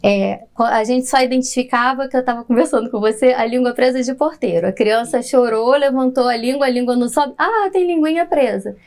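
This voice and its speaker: A woman's voice: fairly high pitch, brisk, hardly any pauses, a little mellow. A woman, a pediatric dentist explaining her work in a podcast interview, patiently and with frequent laughter.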